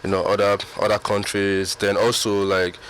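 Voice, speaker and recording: A man's voice: fairly low pitch, monotone, moderate pace, fairly bright tone, smooth, low-quality recording. A man speaking as a radio interview guest, laid-back and loose, his sentences full of fillers. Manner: laid-back, many "like" and "you know" fillers. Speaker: reality show ex-contestant guest. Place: radio studio.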